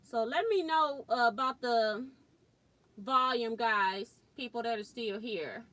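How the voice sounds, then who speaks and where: A woman hosting a live sewing stream, chatting casually and thinking aloud as she works. She has a loud voice and chuckles often. She is recorded on a laptop mic about four feet away in a home sewing room. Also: on the high side, takes it slowly. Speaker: quilting and sewing livestream host. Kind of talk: casual live demo chat. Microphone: built-in laptop mic about four feet away.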